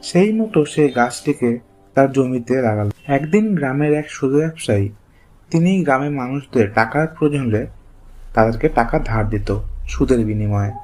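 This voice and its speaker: A man's voice: medium pitch, lively intonation, normal speed, a little mellow. A man reading scripted narration for a children's moral story cartoon. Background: music.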